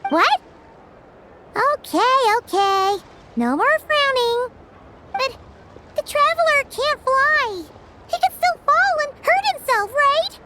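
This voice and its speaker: A woman voicing a tiny, excitable, easily alarmed fairy companion in a video game, with a squeaky childlike voice. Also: slow, long pauses, background noise, heavily acted. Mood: worried, startled. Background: background music.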